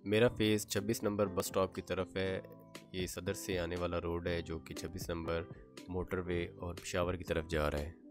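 A man's voice: low voice, little pitch movement, moderate pace, music in the background. A man with a Pakistani accent presenting a construction site update in a factual, matter-of-fact way. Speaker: video presenter.

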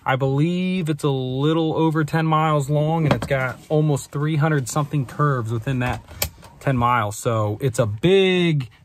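A man's voice: a little high, a little slow. Laid-back young man vlogging from a van. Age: young adult. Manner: laid-back, upbeat, says "freaking sick" a lot. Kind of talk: casual travel vlog. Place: in a van and outdoors on mountain roads. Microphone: phone held at arm's length. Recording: outdoor noise on a phone mic.

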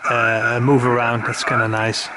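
Man demonstrating gear over loud background noise. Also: medium pitch, completely flat delivery, a little slow. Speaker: amateur radio hobbyist presenter. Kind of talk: casual unscripted product demonstration.

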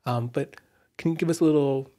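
A man's voice: fairly high pitch, brisk, warm tone. A young man hosting a podcast, asking long, loosely worded questions with encouraging interjections on a studio mic. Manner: encouraging, asks rambling questions. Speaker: podcast host. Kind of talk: interview conversation. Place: small bright indoor studio with sofa. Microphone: studio mic on stand.

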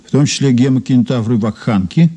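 An actor playing a composed investigator in a radio play, measured and dryly ironic. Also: male voice, mid-range voice, mellow, slightly rough, close to an everyday voice. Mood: businesslike, courteous. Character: composed, wry male investigator recounting an old case. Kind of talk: radio drama dialogue and narration.

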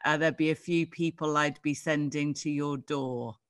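A woman's voice: deep voice, steady tone, normal speed. Effusive British woman hosting an interview. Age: middle-aged. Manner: effusive, exclaiming. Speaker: interview host. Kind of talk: casual interview chat. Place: living room at home. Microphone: video call.

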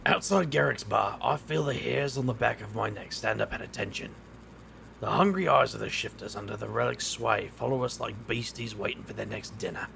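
A man acting as a reckless street magician with a very creaky, gravelly voice. He narrates his urban fantasy audio drama with sardonic wit. There is clear noise behind him. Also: fairly low pitch, fairly quick. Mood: tense, brooding. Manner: wry, sardonic. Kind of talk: first-person audio drama narration and dialogue.

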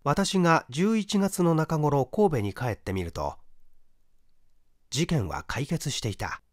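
A man reading a mystery story as a narrator, in a measured, dramatic literary storytelling manner. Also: a little high, sing-song, no room sound, studio quality. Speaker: audiobook narrator, stage actor.

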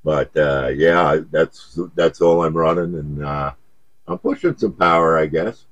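A man's voice: extremely low pitch, expressive, a little slow, fairly bright tone, muffled recording. A man chatting casually over CB radio in an easygoing, folksy, hesitant way. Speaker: CB radio operator. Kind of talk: casual radio contact chat.

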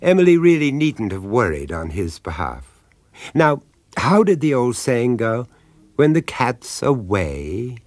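An actor narrating a radio horror story as a wry, theatrical storyteller with measured delivery. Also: male voice, mid-range voice, big pitch swings, no room sound. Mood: ironic. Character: wry, ominous male storyteller of a horror tale.

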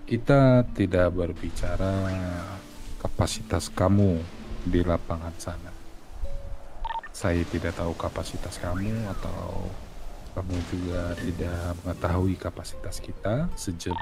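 Guy acting as a stern cult boss in a game rp, talks real slow and imperious, music behind. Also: sits low, fairly even intonation. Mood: probing, stern. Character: stern, aloof male boss of a secret society. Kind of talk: improvised game roleplay.

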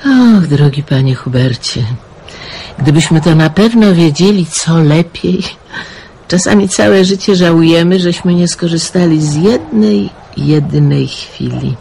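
A woman playing a chatty, motherly middle-aged lady of a remote house, gently teasing her lodger, in a radio drama. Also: fairly low pitch, measured pace, gravelly, rough recording. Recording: faint background noise.

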